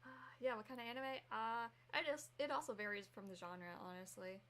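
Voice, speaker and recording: A woman's voice: fairly high pitch, expressive, fairly quick, fairly bright tone. A woman streamer chatting casually and reacting on a livestream, speaking off the cuff. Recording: faint background noise under voice.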